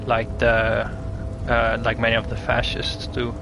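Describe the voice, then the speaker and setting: Creaky-voiced man thinking aloud. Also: mid-range voice, monotone, measured pace, over music, rough recording. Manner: hesitant, tentative, thinking aloud. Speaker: interviewer researching game voices. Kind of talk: casual analytical discussion.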